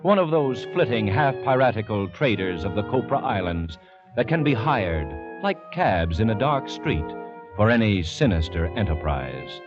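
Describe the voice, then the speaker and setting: A man narrates a vintage radio drama in a theatrical storytelling style. His lowish voice swings expressively, and music plays behind him on a dull old recording. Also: big pitch swings, moderate pace.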